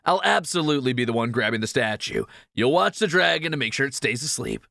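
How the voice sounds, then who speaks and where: A cocky young fantasy thief, acted by a man, swinging animatedly from bragging to jitters. His voice is bright and raised, with some creak at the edges, recorded close and dry. He jokes and exclaims readily, in audio roleplay style. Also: mid-range voice, dry recording. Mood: playful, resolved. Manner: joking, animated, quick to exclaim.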